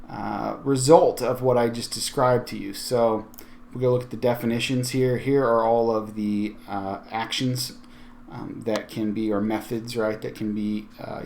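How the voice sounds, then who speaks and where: Male tutorial host, mid-pitched, conversational and explanatory, walking through software on screen. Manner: conversational, explanatory, thinking aloud.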